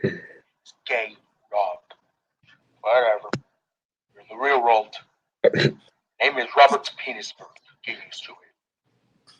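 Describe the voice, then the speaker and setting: A man's voice: mid-range voice, takes it slowly, long pauses, breathy edge, poor audio. A man hosting a gaming video, playfully running a mock roll call over voice chat with crude teasing. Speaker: gaming channel host. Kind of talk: joking voice chat while role-playing a roll call.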